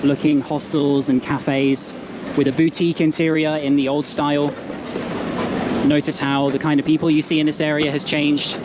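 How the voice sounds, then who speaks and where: A man's voice: fairly high pitch, flat delivery, normal speed. A man narrating a travel vlog as he walks through a busy city street outdoors. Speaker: travel vlogger. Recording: loud outdoor street noise. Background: loud traffic and street noise.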